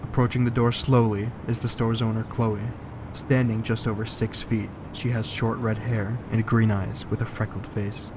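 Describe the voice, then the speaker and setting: Low, even-toned male narrator. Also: moderate pace. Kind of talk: scripted story narration. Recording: loud background noise.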